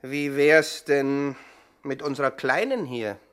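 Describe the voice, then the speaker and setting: An actor playing a cool, smug gang boss who calmly plans a killing, in a 1960s radio drama. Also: male voice, mid-range voice, takes it slowly, smooth. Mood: menacing. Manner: cool, smug, quietly threatening. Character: calm menacing gang boss.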